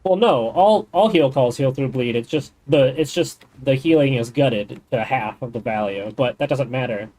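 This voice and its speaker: A man's voice: medium pitch, moderate pace, few pauses, dark tone, poor audio. A man streaming a game, chatting casually and explaining the game's mechanics in detail. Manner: rambling, thinks aloud. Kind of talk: casual gaming chat.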